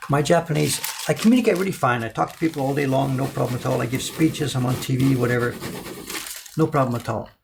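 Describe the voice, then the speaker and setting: A man's voice: medium pitch, quick pace, gravelly, background noise. A man from an older generation, chatting casually on a live stream as he prints by hand and answers viewers' questions. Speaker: woodblock printmaker streaming his work. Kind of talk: casual live-stream chat while working.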